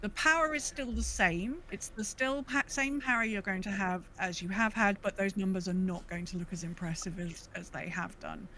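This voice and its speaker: A woman's voice: mid-range voice, normal speed, hardly any pauses, bright, forceful tone, a little hiss or hum, poor audio. A woman co-hosting a podcast, talking casually through prepared notes. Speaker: podcast co-host.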